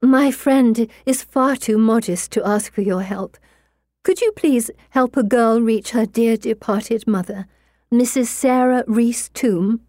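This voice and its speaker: A woman's voice: lowish voice, fairly even intonation, brisk, darkish tone, husky, no room sound, clean studio sound. A woman voicing a mischievous schoolgirl in a storybook, theatrical and persuasive. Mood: mock-tearful pleading. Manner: pleading, theatrical, scheming. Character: bold, mischievous schoolgirl feigning tearful pleas.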